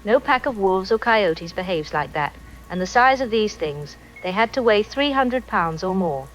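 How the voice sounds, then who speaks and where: A woman's voice: medium pitch, expressive, normal speed, slight background noise, natural voice, low-quality recording. An actress playing a shaken townswoman giving an earnest eyewitness account on a radio call-in. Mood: unsettled. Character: shaken female townsperson phoning in about an attack.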